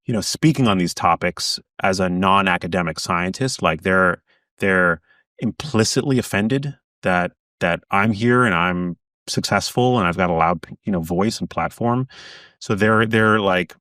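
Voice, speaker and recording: Earnest man guest with a lower voice. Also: measured pace, fairly bright tone, no echo, clean studio sound, recorded from a distance. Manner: earnest, talks in bursts with many restarts. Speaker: guest author. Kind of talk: casual interview chat.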